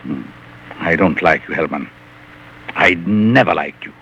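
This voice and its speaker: A man with a Hungarian accent playing a sinister, gloating psychologist in a 1940s radio suspense play, deliberate and mockingly polite. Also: sits low, creaky, a bit bright, exaggerated voice. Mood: cold, deliberate. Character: sinister, gloating male psychologist plotting murder. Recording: muffled, noisy 1940s radio recording.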